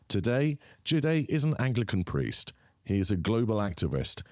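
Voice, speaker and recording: Deep-voiced male podcast host. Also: a little mellow, dry recording, studio quality. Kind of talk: scripted intro then interview questions.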